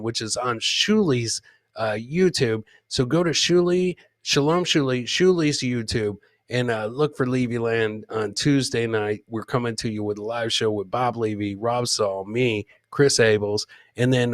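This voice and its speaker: A man's voice: medium pitch, no echo, studio quality. A man hosting a live comedy podcast, chatting informally and rambling as he introduces clips and talks to viewers. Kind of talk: casual live-stream chat, riffing on clips and reading viewer comments.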